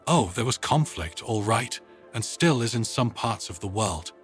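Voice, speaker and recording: A man's voice: on the low side, animated, moderate pace, soft background music, natural voice. A man voicing a wry, good-humoured woman space commander, explaining casually in a narrated sci-fi story. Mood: amused.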